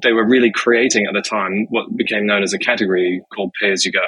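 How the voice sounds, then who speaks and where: A man from Zimbabwe, a business executive answering interview questions in a measured, explanatory way with frequent fillers. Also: lowish voice, flat delivery, a bit bright, breathy edge, no echo, rough recording.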